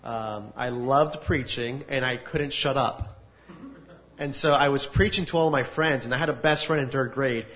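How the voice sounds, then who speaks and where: A man from the United States giving a personal testimony at a faith gathering, telling his story with earnest, animated energy. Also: medium pitch, moderate pace. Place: large room with an audience. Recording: dull lo-fi recording.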